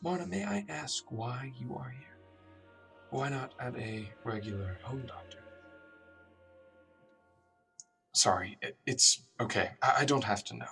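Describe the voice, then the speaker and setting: A man's voice: mid-range voice, normal speed, gravelly, sharp tone. A man playing an earnest, awkward doctor in a radio drama, hesitant, apologetic and reflective as he questions a patient. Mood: gentle, awkward. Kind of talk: radio drama acting with inner monologue. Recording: distant mic, music underneath.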